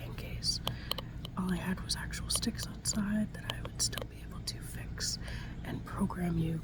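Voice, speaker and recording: A woman performs an improvised ASMR roleplay as a gentle scientist in a breathy whisper. Her voice sits fairly low and stays soft and soothing. The phrasing is halting and reassuring. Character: gentle scientist tending a robot.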